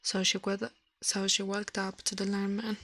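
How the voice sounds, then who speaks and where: A woman's voice: medium pitch, little pitch movement, normal speed, fairly bright tone, dry recording. A woman with an Italian accent, a native Italian speaker, reading a story aloud in ASMR style. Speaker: livestream host, storyteller.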